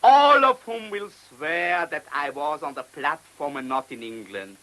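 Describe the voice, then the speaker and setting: An actor in a radio drama playing a cunning, self-assured German man who speaks with deliberate, mocking polish in a German accent. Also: fairly high pitch, animated, bright, forceful tone, rough recording. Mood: triumphant, smug. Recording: hissy old radio play recording.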